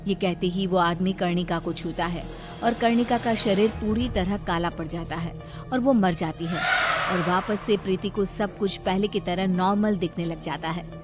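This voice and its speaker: A woman's voice: fairly low pitch, moderate pace, music in the background. A woman narrating a scripted horror cartoon story.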